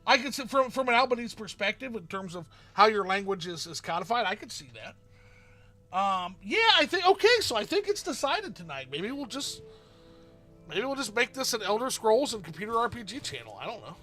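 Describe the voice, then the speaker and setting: Male streamer host, high and very bright voice, loose rambling chat. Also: sing-song, moderate pace, soft background music. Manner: rambling, reads out viewer chat and answers it. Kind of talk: casual livestream chat about games.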